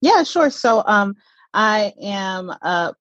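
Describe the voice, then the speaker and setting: A woman's voice: medium pitch, big pitch swings, slow, fairly bright tone, no room sound. Chatty woman in her thirties, muffled audio. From Southern United States. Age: 30s. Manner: chatty, lots of fillers, laughs easily. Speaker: podcast guest, platform creator. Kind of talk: casual interview answers.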